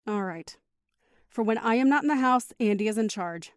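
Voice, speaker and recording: Actress as a firm, higher-voiced protective mother. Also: lively intonation, normal speed, clean tone, no room sound, clean studio sound, natural voice. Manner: firm, instructing, patient. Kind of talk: audio drama acting.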